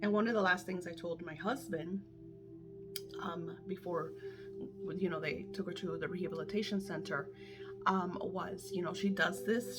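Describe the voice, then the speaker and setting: A middle-aged woman coaching her viewers in casual, candid talk, emphatic and swearing freely, her voice a little worn as if she is losing it. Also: on the low side. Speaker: manifestation coach.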